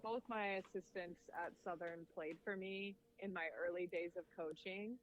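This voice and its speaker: A woman in her thirties, a volleyball coach, talking in a casual interview in a measured, reflective way with easy laughs. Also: fairly low pitch, fairly even intonation. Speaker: head volleyball coach, podcast guest. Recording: dull lo-fi audio with faint noise.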